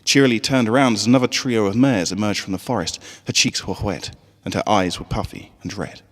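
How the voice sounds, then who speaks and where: A man narrating a scripted story in an expressive, dramatic way. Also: lowish voice, brisk, long reverb tail. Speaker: fan fiction reader.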